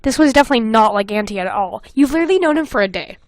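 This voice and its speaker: Young woman, high voice, dry close recording, casual podcast host, mocking, exasperated, sweary. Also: very fast, no echo. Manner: animated, exasperated, sweary, breaks off reading to comment. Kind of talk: casual comedic chat, reading aloud with mocking commentary.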